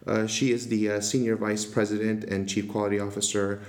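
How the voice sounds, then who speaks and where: A man's voice: on the low side, little pitch movement, a little fast. A man hosting a podcast interview, asking questions in a hesitant way with many fillers. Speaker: resident interviewer. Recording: echoey room.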